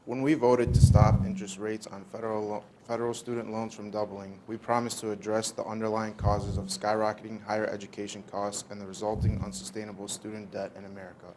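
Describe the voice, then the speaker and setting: A man's voice: lowish voice, level tone, fast talker, few pauses. A young man, a student reader, reciting a formal prepared speech from a script. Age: young adult. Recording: clearly noisy live event recording.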